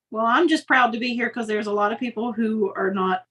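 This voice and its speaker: A woman's voice: fairly high pitch, fairly bright tone, husky. A woman in her fifties joining a sewing livestream, chatting and cheering on the host. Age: 50s. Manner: encouraging, laughs easily. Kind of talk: casual chat. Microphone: phone.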